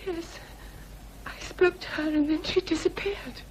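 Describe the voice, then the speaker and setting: A young gentlewoman held captive pleads earnestly. Her voice is high. It is a radio drama with faint hiss. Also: steady tone, a little slow, rough recording. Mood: frightened.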